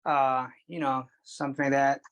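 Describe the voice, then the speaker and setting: A man's voice: mid-range voice, little pitch movement, normal speed, a bit bright. A man from the audience asking a question on a video call, hesitant and full of fillers. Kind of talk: live Q&A question.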